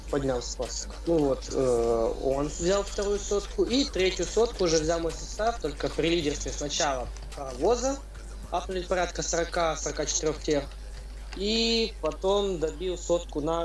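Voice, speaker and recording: A man's voice: on the high side, animated, moderate pace. A young man in his late teens, talking as an interview guest in a rambling, casual way with lots of fillers. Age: young adult. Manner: rambling, loose storytelling with many fillers. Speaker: interview guest, game player. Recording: echoey, distant mic.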